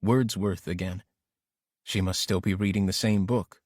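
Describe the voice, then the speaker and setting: A man's voice: low voice, fairly even intonation, a little slow, a little mellow, dry recording, clean studio sound. A man reading a first-person thriller audiobook as scripted narration.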